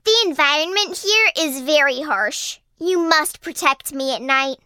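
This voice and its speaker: Woman as a high, vain, girlish flower. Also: expressive, measured pace, sharp tone, dry recording, clean studio sound, exaggerated voice. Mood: demanding. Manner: coy, haughty. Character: vain, demanding young female flower. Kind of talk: acted children's audio fairy tale.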